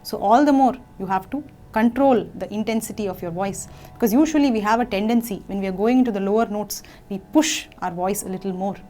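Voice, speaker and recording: A young woman, teaching a singing lesson, gently and patiently explaining phrases between short sung examples. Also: mid-range voice, fast, a little hiss or hum.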